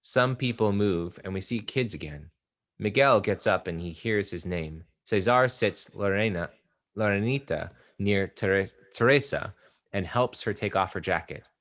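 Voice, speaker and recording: Man reading stage directions, steady and measured, very low voice, faint music behind. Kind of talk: play table read narration.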